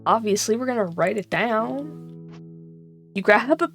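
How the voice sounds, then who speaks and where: A woman's voice: fairly high pitch, lively intonation, measured pace. A woman reading an interactive gamebook aloud, breaking off into chatty, irreverent asides where she swears and laughs at herself. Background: background music.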